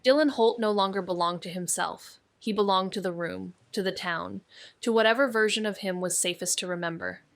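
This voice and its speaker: A woman's voice: medium pitch, fairly quick, lightly acted. Actress as watchful, wry young woman sleuth. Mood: wry, restrained. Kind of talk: scripted noir narration and dialogue.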